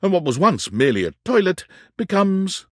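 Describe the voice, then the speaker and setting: An actor playing a wry, dramatic video game narrator, reading scripted narration in a husky voice. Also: male voice, sits high, very animated, normal speed, no echo, clean studio sound.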